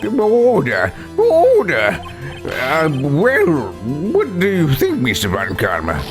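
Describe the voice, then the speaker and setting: Man putting on a flustered old judge, wildly swooping pitch. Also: huge pitch swings, slow, heavily acted. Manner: exclaiming, hesitant, dithering. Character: flustered old male judge, bumbling and easily baffled. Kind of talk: voiced playthrough of a courtroom game. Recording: game music behind.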